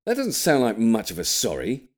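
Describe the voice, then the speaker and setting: A man's voice: mid-range voice, huge pitch swings, moderate pace, a little mellow, voice pushed up. A man voicing a grumpy, exacting middle-aged father in an audiobook, indignant and emphatic. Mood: indignant scolding. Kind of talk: audiobook character voice.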